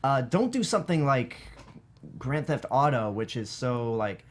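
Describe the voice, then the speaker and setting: A man with an average-pitched voice speaks as a panelist on a film show. His delivery is conversational and a little hesitant as he works through his opinions in a studio. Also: sing-song, unhurried, slight background noise. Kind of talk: casual panel chat.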